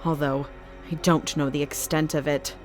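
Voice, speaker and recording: A low-pitched, commanding female arena master played by a woman in an audio drama. Her delivery is formal and clipped, with a cold, suspicious and ruthless edge. Soft music runs underneath. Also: level tone, normal speed, lightly acted.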